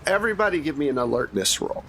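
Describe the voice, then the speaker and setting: A man's voice: a little high, very animated, quick pace, a bit bright, a little hiss or hum, low-quality recording. A man running a tabletop game as game master, narrating scenes off the cuff in a casual, conversational way.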